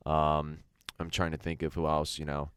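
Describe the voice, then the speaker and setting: Young male sports radio co-host, deep and resonant, conversational, dry studio sound. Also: completely flat delivery, normal speed, clear, resonant tone, no echo. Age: young adult. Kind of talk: casual sports talk.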